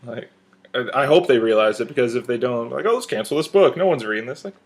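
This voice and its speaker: A man's voice: mid-range voice, expressive, fast talker. A young man co-hosting a podcast, chatting casually and enthusiastically, his voice worn from a cold. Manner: enthusiastic, blunt, laughs while talking. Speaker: podcast co-host. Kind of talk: casual chat.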